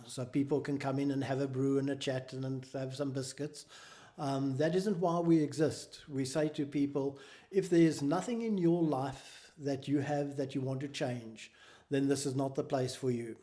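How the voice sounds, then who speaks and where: A man with a South African accent, a charity founder calmly explaining his work in an interview. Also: mid-range voice. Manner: measured, explanatory. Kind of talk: interview answers.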